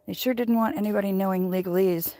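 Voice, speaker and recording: A woman's voice: medium pitch, fairly even intonation, fast, warm tone. A woman chatting casually and playfully on a livestream while out walking, with a wry, salty, rambling manner. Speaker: livestreamer hosting a walk-along. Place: outdoors on a neighborhood walk. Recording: noisy outdoor handheld recording.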